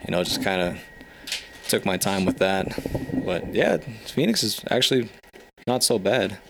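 A young man with a low voice talks easily and at length, filling his sentences with casual asides. His delivery is loose and conversational. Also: very animated, unhurried, creaky, background noise. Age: young adult. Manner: chatty, leans on "you know". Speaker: band member. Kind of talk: casual interview answers.

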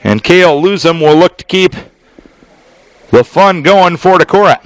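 A man doing live baseball play-by-play as a radio announcer, speaking in measured, clipped phrases with deliberate pauses. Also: high-pitched, lively intonation, no echo, rough recording. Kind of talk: live sports play-by-play commentary.

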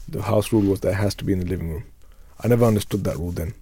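A man's voice: low voice, racing, mellow, husky. A man in his thirties, co-hosting a radio discussion, whose first language is Urdu, telling candid, reflective stories from his childhood. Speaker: radio show co-host. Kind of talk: casual panel discussion.

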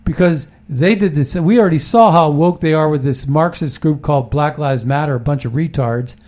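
Rambling, emphatic man, slightly high voice. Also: moderate pace, a little mellow. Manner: rambling, emphatic, with frequent restarts. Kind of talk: casual political talk.

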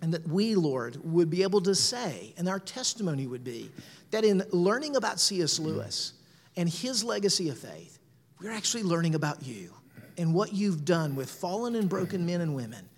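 A man's voice: a little high, sing-song, normal speed, big-room sound. A man, a pastor, introducing a guest speaker and leading a spoken prayer in a church room, with earnest fillers. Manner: warm, earnest, many fillers.

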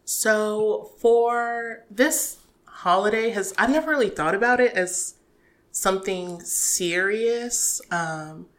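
A woman in her twenties, a podcast guest, chatting in a relaxed, giggly way with many fillers. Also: medium pitch, takes it slowly. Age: 20s. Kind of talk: casual chat.

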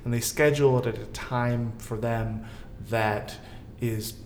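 A man's voice: medium pitch, steady tone, unhurried. A man, probably middle-aged, chatting casually on a panel and thinking aloud in a discursive way. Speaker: guest co-host on a theater podcast. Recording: roomy echo, faint background noise.

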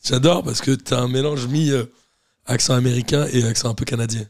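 Gravelly Frenchman, dry close sound. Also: medium pitch, steady tone, a little fast, a little mellow, no room sound. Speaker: podcast host. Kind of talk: casual chat, questions and anecdotes.

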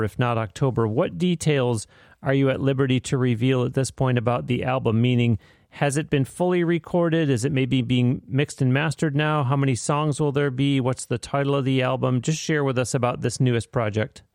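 A man's voice: medium pitch, steady tone, moderate pace, a little mellow, no room sound, clean studio sound. A man hosting a podcast interview in an articulate, orderly way, with prepared introductions and questions. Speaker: podcast host.

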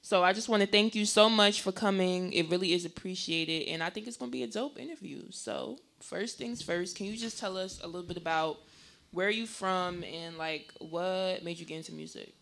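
Upbeat gravelly male interview host. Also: high voice, steady tone, moderate pace, a bit bright. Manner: upbeat, loose questions with fillers. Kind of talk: casual podcast interview.

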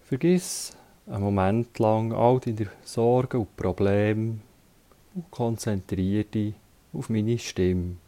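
Man, Swiss German accent, guided meditation, calm short instructions, relaxed dark tone, drawn-out pace. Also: fairly low pitch. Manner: calm, instructing in short phrases with long pauses. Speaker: yoga teacher.

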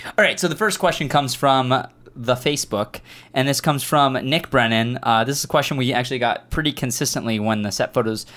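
A man hosting a casual tech and comics podcast, excitable and joking with his co-hosts. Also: mid-range voice. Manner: excitable, joking, quick asides. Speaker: podcast host. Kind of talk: casual chat.

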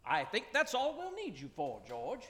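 Man as an animated, high-voiced earnest board member. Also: moderate pace, full character voice. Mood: appreciative. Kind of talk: live radio play acting. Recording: echoey hall, live stage performance.

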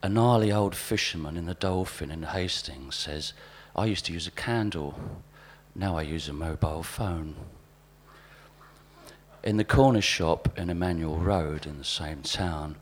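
Deep-voiced man, wryly reading aloud. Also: unhurried. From South East London, England. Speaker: writer and performer reading own pieces. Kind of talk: spoken-word reading of overheard snippets to a live audience.

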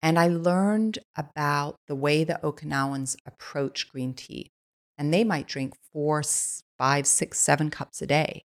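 A woman guest on a podcast, chatting in a casual, eager way and filling her sentences with "like." Her voice sits low, with a gravelly fry running through her speech. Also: measured pace. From Switzerland. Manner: enthusiastic, lots of "like" fillers. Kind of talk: casual conversational storytelling.

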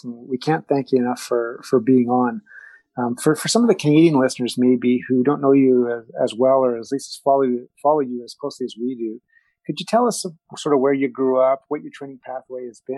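A man's voice: medium pitch, warm tone, dry recording, low-quality recording. A man hosting a podcast, asking a guest a polite question while stumbling over words. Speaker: podcast host. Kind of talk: interview question.

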